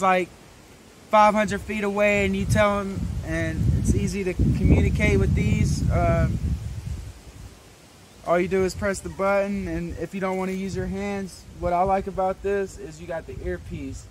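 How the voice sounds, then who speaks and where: A man's voice: high voice, steady tone, normal speed. A young adult man giving a casual product demonstration to camera as a reviewer, speaking outdoors. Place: outdoors in a wooded yard. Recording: outdoor camera audio with background noise.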